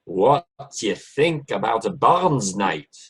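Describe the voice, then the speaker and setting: Man acting a husky, eager Scotsman. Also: fairly low pitch, big pitch swings, slow, heavily acted. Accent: Scottish. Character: eager, proud Scottish society member in tartan trews. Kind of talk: amateur radio play acting.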